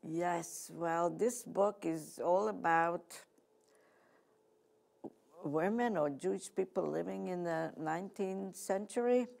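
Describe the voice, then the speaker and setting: A woman's voice: lowish voice, slow, gravelly, a little mellow. A woman with a Hungarian accent hosting a talk show, chatty and gushing with her guest. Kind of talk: casual interview chat.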